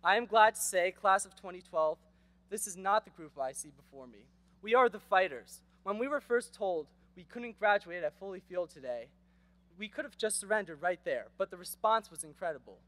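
A teenage boy delivers a graduation speech into a podium microphone on an outdoor stage. His voice sits high and the sound is dry and close. He is earnest and rhetorical, with wry asides. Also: lively intonation, normal speed, bright, forceful tone, dry recording. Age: teens. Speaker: graduation speaker. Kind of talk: prepared commencement speech.

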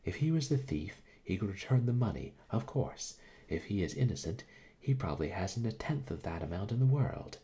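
A grave young man breaks bad news and then argues hotly in a storybook reading, with a man putting him on. The voice stays very low, only lifting when he exclaims. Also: unhurried. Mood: exasperated.

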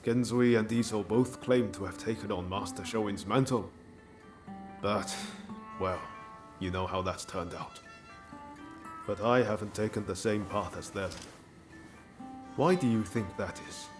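An actor playing an earnest, loyal young samurai in a video game cutscene, speaking reflectively and with resolve. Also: male voice, fairly low pitch, a little slow, pauses often, over music, natural voice.

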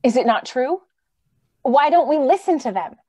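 A woman's voice: sits high, animated, moderate pace, warm tone. A woman playing an idealistic, anxious lady in a radio drama, speaking with earnest, theatrical pleading. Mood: anguished. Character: idealistic, anxious, gentle lady who loves humanity. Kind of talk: old-time radio drama acting. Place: remote video call.

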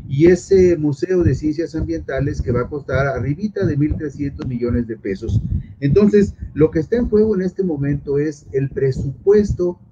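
A man in his sixties with a Mexican Spanish accent speaks as a guest journalist in a deliberate, emphatic, explanatory way. He is on a webcam from a study at home. The sound is muffled and lo-fi, with a clear layer of background noise. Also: on the high side. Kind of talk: political commentary. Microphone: video call webcam. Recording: dull lo-fi video call with clear noise.

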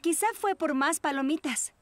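High-voiced confident cartoon teen girl voiced by a woman. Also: moderate pace, faint music behind, close to an everyday voice. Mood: dramatic. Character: confident teenage girl who loves spooky legends. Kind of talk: dubbed cartoon acting.

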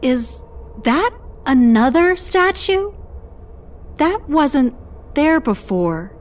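An actress playing a curious, expressive young female space crew member in an audio drama. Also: high voice, very slow, heavily acted. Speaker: voice actor.

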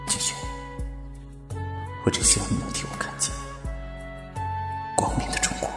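A man's voice: normal speed, music in the background, close to an everyday voice. A man playing an earnest, resolute young soldier with heartfelt, solemn delivery in a radio drama. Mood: solemn, sorrowful. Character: earnest young male soldier, secret communist, loving and resolute. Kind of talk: Chinese fan radio drama acting.

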